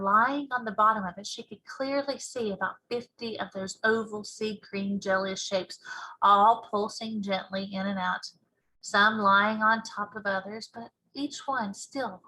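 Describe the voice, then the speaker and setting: A woman's voice: medium pitch, fairly even intonation, measured pace, sharp tone, low-quality recording. A woman narrating a storybook as a teacher reading aloud.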